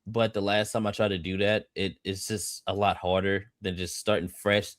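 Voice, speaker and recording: A man's voice: low voice, level tone, moderate pace, dry recording, studio quality. An American man of thirty co-hosting a livestream with casual, joking chat, boisterous and slangy, repeating phrases for emphasis. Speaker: livestream co-host.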